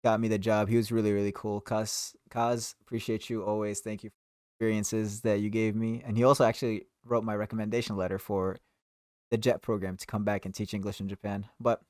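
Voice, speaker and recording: Friendly young male podcast co-host, low-ish voice, rambling casual delivery. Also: little pitch movement, a little fast, a little mellow, no room sound, studio quality. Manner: rambling, friendly, steers the conversation. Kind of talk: casual chat.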